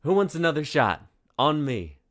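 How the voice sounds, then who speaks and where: A man's voice: a little high, sing-song, moderate pace, a bit bright, pitched above the performer's own voice. A man voicing a mischievous alien shapeshifter woman in a story, with a brash, teasing, playful delivery.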